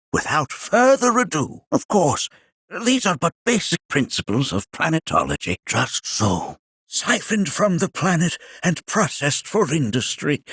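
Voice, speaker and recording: Eccentric elderly professor for a video game: courtly and lecturing, creaky aged voice, wildly animated pitch. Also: male voice, dry recording, studio quality, heavily acted. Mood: courteous, lecturing, then grave, wistful. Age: older. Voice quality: gravelly, aged, husky. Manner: courtly, lecturing, with drawn-out musing. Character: eccentric, jolly old male sage and scientist. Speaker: planetology scholar and teacher. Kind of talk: theatrical video game character acting.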